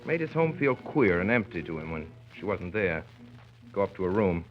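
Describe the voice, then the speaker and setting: An actor playing a grown son narrating family memories, wry and measured, in 1930s radio style. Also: male voice, deep voice, sing-song. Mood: wry, reminiscent. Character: grown son wryly recalling his domineering father. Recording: dull 1930s radio recording with music.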